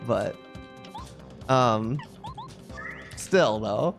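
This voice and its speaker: A man's voice: mid-range voice, animated, drawn-out words, gravelly, soft background music. A man livestreaming a video game, chatting casually with viewers and commenting on play in a wry, ranting way.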